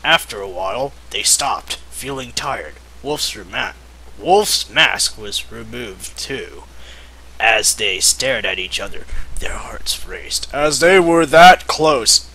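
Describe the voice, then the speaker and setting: A man's voice: medium pitch, lively intonation, slow pace, pushed and bright, slightly rough, rough recording. A young man giving a mocking, theatrical reading of amateur fiction, often breaking off for joking asides. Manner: theatrical, breaks off to joke and giggle. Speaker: amateur reader of fan fiction.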